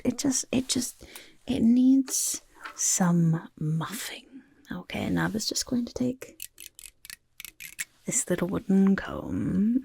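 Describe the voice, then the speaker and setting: A woman voicing an eccentric, self-important goblin overlord in soft-spoken ASMR roleplay, half whispering in a breathy rasp and fussing with repeated words. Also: medium pitch, slight background noise, exaggerated voice. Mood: soothing, matter-of-fact. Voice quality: breathy, croaky, raspy whisper. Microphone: close, dry ASMR microphone.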